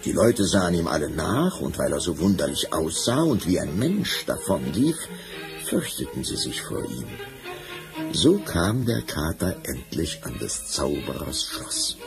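A man with a deep voice tells a fairy tale expressively. His pitch moves very widely, and prominent music plays behind him. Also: a little slow, creaky. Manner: expressive, dramatic storytelling. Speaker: narrator. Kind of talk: scripted fairy-tale storytelling.

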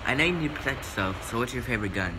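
A man's voice: mid-range voice, lively intonation, racing, lightly acted. A male performer playing a polite 1920s radio show co-host in an amateur scripted interview. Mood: politely probing. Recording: clear noise behind the voice.

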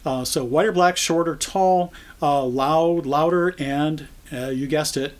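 Older American man explaining products, conversational and halting. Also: on the high side. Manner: conversational, halting, thinking as he goes. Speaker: product trainer. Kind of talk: informal product explainer to camera.

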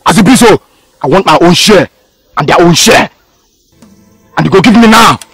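An actor playing a defiant, aggrieved gangster in a film drama, with a Nigerian accent and an insistent, confrontational manner. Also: male voice, sits high, animated, normal speed, fairly bright tone, slightly rough, no echo, soft background music, full character voice. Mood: demanding. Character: defiant, aggrieved male gangster demanding his share.

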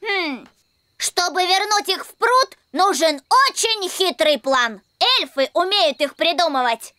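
Little elf boy, earnest and childlike, bright, forceful tone, cartoon dub. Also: very high-pitched, expressive, a little slow, no room sound, exaggerated voice. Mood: earnest, scheming.

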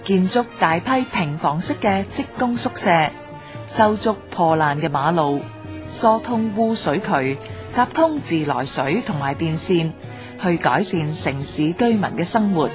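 A woman narrator with a fairly low voice and a Hong Kong Cantonese accent. She reads prepared documentary script formally and with measured diction, over music. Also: lively intonation.

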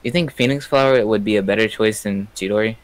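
A young man on game voice chat, thinking aloud in a measured, hesitant way. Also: on the low side, dry recording. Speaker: gamer on voice chat. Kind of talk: casual gaming chat.

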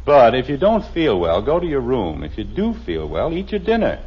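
Exasperated radio sitcom dad, swooping pitch. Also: male voice, wildly swooping pitch, moderate pace, husky. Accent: American. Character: middle-aged suburban father, exasperated and dry. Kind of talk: 1950s American radio comedy acting. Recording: muffled old radio broadcast with music.

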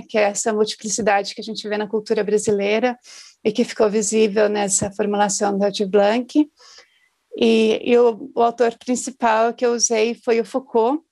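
Woman researcher with a creaky, level voice. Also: fairly high pitch, a little mellow. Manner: thoughtful, with tag "né?". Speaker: postdoctoral researcher. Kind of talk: academic presentation on a video call. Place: small room at home.

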